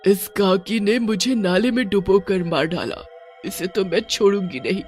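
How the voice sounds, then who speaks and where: A woman's voice: medium pitch, measured pace, a little mellow, soft background music, natural voice. A woman voicing the ghost of a young mother, sorrowful and vengeful. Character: grieving female ghost witch, a young mother.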